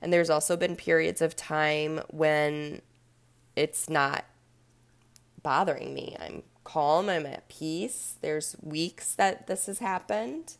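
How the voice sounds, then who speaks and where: Slow-talking congested woman host. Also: low voice, creaky. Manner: candid, reflective, with frequent ums. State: congested, nasal from a sinus infection. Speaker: podcast host, yoga and fitness instructor. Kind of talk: casual solo talk.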